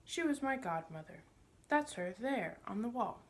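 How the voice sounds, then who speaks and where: A woman's voice: on the high side, animated, moderate pace, pitched above the performer's own voice, natural voice. A woman voicing a pompous, sly older male magician in a storybook, smug and condescending, with a wheedling manner. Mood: evasive.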